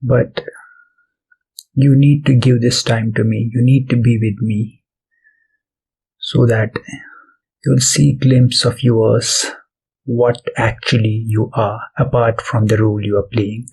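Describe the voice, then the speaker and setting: Slow, even-toned man guiding meditation. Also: medium pitch, vocal fry, slightly rough, low-quality recording. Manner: deliberate, pausing between short phrases. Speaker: meditation guide, channel host. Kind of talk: guided meditation, soft spoken instruction. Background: background music.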